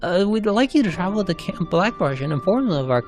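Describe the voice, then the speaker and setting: A man voicing a formal, officious recruiting clerk in a narrated game cutscene, speaking politely and haltingly. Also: sits high, very animated, soft background music.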